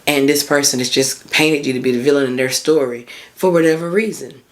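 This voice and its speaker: A woman in her thirties with a hoarse voice, hosting a casual solo podcast as a mindset coach and chatting informally and encouragingly with her listeners. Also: extremely low pitch, a little fast. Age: 30s.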